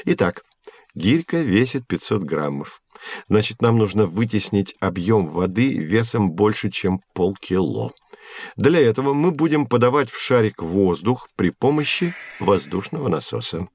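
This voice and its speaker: A man playing a patient, genial science professor who explains in a measured, didactic way in a scripted educational audio drama. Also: on the low side, sing-song, a little mellow, husky, no room sound, heavily acted. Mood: explaining.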